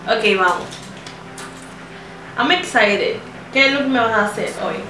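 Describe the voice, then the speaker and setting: A woman's voice: a little high, takes it slowly, noisy background. A young woman in her twenties chatting casually with viewers in a beauty vlog, laughing often. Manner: chatty, giggly, speaks to viewers. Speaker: beauty vlogger. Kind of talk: casual chat. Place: small indoor room against a plain wall. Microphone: far camera. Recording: roomy, distant, music behind.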